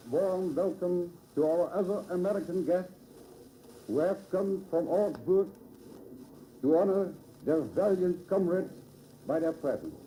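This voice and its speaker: A man from the Netherlands with a Dutch accent reads a formal, solemn ceremonial speech in measured, oratorical phrases, on an old radio recording. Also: on the high side, mellow. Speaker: local dignitary giving a dedication address. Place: public ceremony at a monument dedication. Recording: old muffled 1950s radio broadcast recording.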